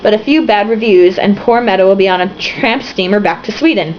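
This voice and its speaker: A woman's voice: mid-range voice, fairly quick, darkish tone, slight background noise, lightly acted. A woman voicing a dramatic female stage star with a grand, affected manner, in a storybook reading, on a slightly muffled home recording.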